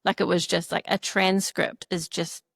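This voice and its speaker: A woman's voice: lowish voice, little pitch movement, normal speed, vocal fry, slightly rough, dry recording, studio quality. A woman from New Zealand with a New Zealand accent, co-founder of a startup, chatting in a casual interview, full of "you know" and "like".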